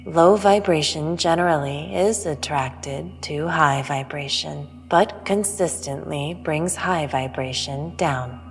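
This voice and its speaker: A woman playing a cryptic, all-knowing otherworldly being in a sci-fi audio drama, speaking in a measured, formal, superior way. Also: sits low. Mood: solemn, knowing. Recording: long reverb over music.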